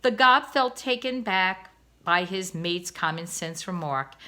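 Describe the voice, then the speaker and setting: Woman reading a storybook aloud. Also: mid-range voice. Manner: expressive, gentle read-aloud.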